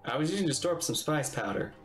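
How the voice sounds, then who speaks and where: A man's voice: a little high, big pitch swings, fast, faint music behind. A man in his thirties reading dialogue aloud as he streams a game playthrough indoors. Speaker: streamer reading the player character's lines.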